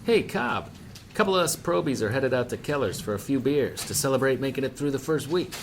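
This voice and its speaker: A man playing an easygoing young male rookie cop in a dramatized scene. His delivery swings up and down in a friendly, ribbing way. There is clear background noise around him. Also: medium pitch, expressive, fairly quick, natural voice. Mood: friendly teasing. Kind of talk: acted dramatized dialogue.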